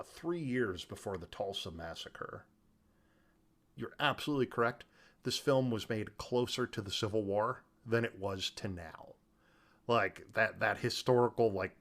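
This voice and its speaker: Guy podcasting casually about movies, super animated pitch, emphatic and kinda incredulous, slangy talk. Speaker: podcast co-host discussing a film. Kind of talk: casual chat.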